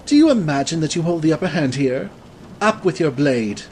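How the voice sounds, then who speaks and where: A woman's voice: low voice, lively intonation, a little fast, darkish tone, a little hiss or hum, pitched below the performer's own voice. A woman voicing a bold, mocking young nobleman swordsman in a storybook reading. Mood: commanding. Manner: mocking, commanding. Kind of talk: audiobook character voice.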